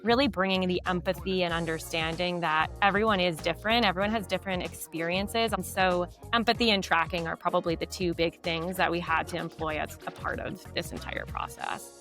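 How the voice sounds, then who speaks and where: A woman with a low voice, a guest on a podcast interview, explaining fluently and often using fillers. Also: steady tone, brisk, hardly any pauses, fairly bright tone, soft background music.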